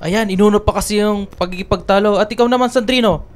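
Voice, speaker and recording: A man's voice: high-pitched, fast, faint music behind, close to an everyday voice. A man acting a stern, exasperated, reproving Filipino father in a radio drama. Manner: reproving, bossy.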